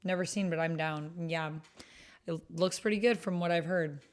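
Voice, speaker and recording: Female stream host with a lowish voice, chatty, informal delivery aimed straight at viewers. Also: steady tone, moderate pace. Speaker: livestream host. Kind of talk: casual chat with livestream viewers.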